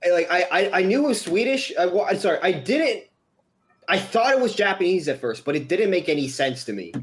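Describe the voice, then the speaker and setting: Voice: medium pitch, big pitch swings, a little fast. Someone chatting casually as host on an online voice call, rambling and repeating phrases. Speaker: host of a fan comic-dub livestream. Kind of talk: casual group chat.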